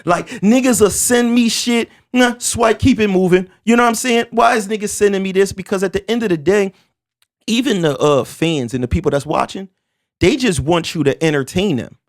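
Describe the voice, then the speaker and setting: A man in his forties hosting a casual podcast, talking with animated emphasis, street slang and stammered restarts. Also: high voice, normal speed. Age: 40s. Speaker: podcast host.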